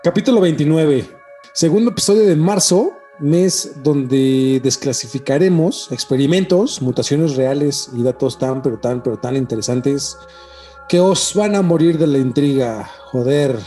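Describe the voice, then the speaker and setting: A man's voice: a little high, measured pace, dark tone, soft background music. A man with a Mexican accent, hosting and chatting casually on a podcast. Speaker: podcast host. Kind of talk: casual podcast chat with announced intro.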